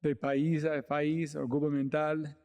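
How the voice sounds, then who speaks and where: A man hosting a podcast, asking his guest curious, upbeat questions in a relaxed interview. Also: fairly high pitch, unhurried, long reverb tail.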